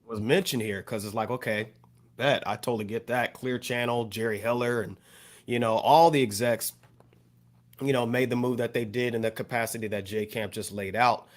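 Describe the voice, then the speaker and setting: A man's voice: medium pitch, fairly even intonation, normal speed. A man co-hosting a podcast, talking things through in a thoughtful, loose way with many fillers and restarts. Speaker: podcast co-host. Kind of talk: casual discussion.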